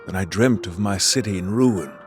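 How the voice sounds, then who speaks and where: An actor playing a calm, seasoned warrior ally in a video game cutscene, measured and wry. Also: male voice, deep voice, faint music behind, natural voice.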